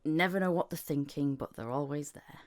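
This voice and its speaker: A woman's voice: low voice, brisk. A woman hosting a casual podcast interview, chatting in a giggly, agreeable way. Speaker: podcast host.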